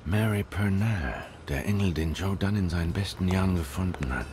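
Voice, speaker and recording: Man acting as deep-voiced, probing noir detective. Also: moderate pace, a little mellow, slight background noise, lightly acted. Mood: dry, knowing. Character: cool, probing male cat private detective, middle-aged. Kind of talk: German game dub, noir dialogue.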